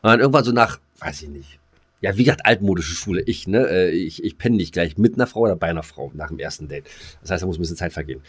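A man's voice: deep voice, expressive, fast, husky. A man hosting a podcast in casual, joking banter, rambling colloquially and often repeating his words. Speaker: podcast host.

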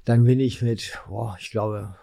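A man in his sixties talks casually and discursively as a podcast guest. His voice is warm and mellow, his articulation slightly impaired. The recording is dry, without room sound. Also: fairly low pitch, steady tone, measured pace, creaky, dry recording. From Germany, Ruhr area (Essen). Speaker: podcast guest, real estate market analyst. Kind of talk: casual interview chat.

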